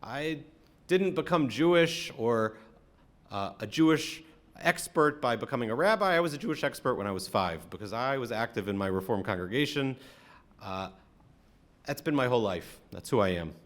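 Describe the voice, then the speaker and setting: An American man from Atlanta speaking as a rabbi, giving a conversational, reflective sermon with frequent halting pauses. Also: mid-range voice, animated, fairly bright tone. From Atlanta, American South. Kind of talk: sermon, conversational talk to congregation.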